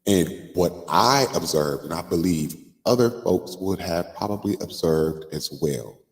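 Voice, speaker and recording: A man's voice: low-pitched, expressive. A man, congested from a cold, hosting a casual live stream and chatting with lots of "like" and "um". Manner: chatty, drawn-out fillers like "like" and "um". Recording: long room reverb.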